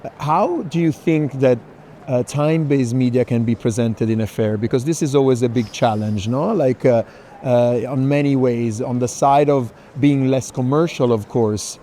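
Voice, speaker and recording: Mellow-voiced man hosting a walking conversation. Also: mid-range voice, normal speed. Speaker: host moderating a walking conversation. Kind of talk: guided conversation at an art fair. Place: indoor art fair, walking among stands. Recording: faint busy fair hall noise.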